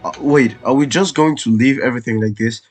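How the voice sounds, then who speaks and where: A man's voice: medium pitch, fairly quick, soft background music. A man commenting casually on a video game as he plays, reacting aloud and talking back to the characters. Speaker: let's play gamer.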